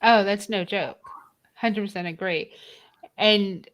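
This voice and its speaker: A woman hosting a casual live chat in a bubbly manner, quick to laugh. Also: mid-range voice, fairly bright tone. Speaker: interview host.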